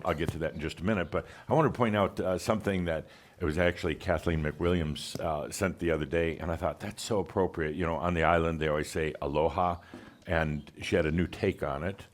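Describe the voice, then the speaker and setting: A man hosting a live gathering in an island pavilion, chatting casually with fillers and small laughs. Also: low-pitched. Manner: relaxed, chatty, with fillers and chuckles. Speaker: host. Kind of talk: casual welcome talk to a live group. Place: open pavilion on a Hawaiian island.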